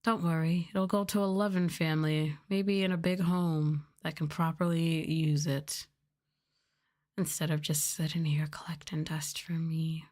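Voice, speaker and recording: A woman chatting softly as an ASMR livestream host, sometimes trailing off toward a whisper. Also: deep voice, little pitch movement, a little slow. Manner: softly spoken, now and then trailing into whispers. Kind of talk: soft-spoken ASMR livestream chat.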